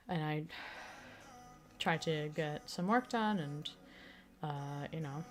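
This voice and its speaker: Woman, very low voice, slightly raspy throat, rambling casual stream chat, soft music behind. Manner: rambling, full of fillers and restarts. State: throat still recovering from illness, slightly raspy. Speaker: streamer and audio creator.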